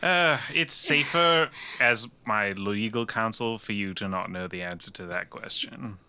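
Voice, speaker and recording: A self-important man, acted by a tabletop player with a very bright, forceful, clean low voice. He is breezy and awkwardly evasive, over faint music. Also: unhurried, clean tone, exaggerated voice. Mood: fussy, evasive. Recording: music behind the voice.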